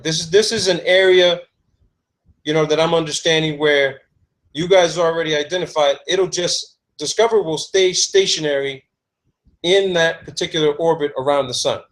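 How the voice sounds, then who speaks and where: A man's voice: a little high, normal speed. A man calling to ask questions, stammering and eager as he talks. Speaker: caller. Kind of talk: phone conversation.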